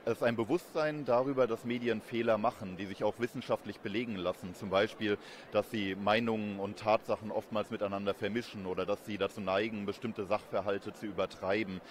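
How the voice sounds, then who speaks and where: A man in his thirties giving measured, explanatory answers as an interviewed academic expert. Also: on the low side, fairly even intonation, a little hiss or hum. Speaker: interviewed expert, communication professor. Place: busy event courtyard in daylight. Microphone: handheld reporter microphone held close. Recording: outdoor urban ambience behind voice.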